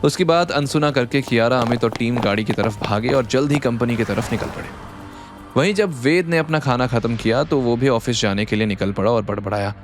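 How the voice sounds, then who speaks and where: A man narrating a scripted drama story as its narrator, in a dramatic style. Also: medium pitch.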